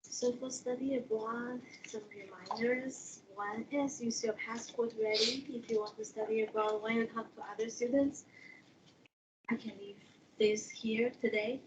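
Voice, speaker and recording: A woman who is an international education coordinator, presenting slides in a relaxed, conversational way, with Chinese as her first language and slightly non-native phrasing. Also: fairly high pitch, measured pace, muffled recording, noisy background.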